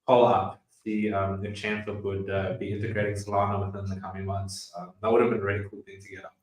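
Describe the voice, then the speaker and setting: A man with a South African accent hosting a casual podcast chat, relaxed and chatty with lots of fillers. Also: on the low side, fast talker, reverberant, low-quality recording. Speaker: podcast host.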